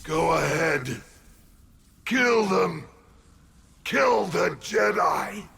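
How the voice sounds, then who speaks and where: An actor playing a cruel, taunting Sith emperor in a video game, gravelly and menacing. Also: male voice, high-pitched, lively intonation, extremely slow, pushed and bright, shouting, slight background noise, exaggerated voice. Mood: goading. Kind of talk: dramatic video game villain acting.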